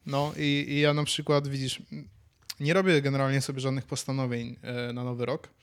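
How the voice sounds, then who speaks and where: A man's voice: mid-range voice, flat delivery, fairly quick, clean tone. A man, talking casually as a podcast co-host. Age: 20s. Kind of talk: casual chat.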